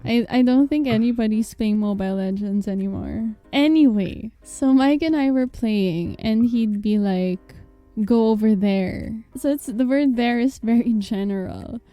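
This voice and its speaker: A woman's voice: a little high, normal speed, mellow, soft background music. A young woman, a Tagalog speaker, co-hosting a casual podcast with playful, giggly banter. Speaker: podcast co-host.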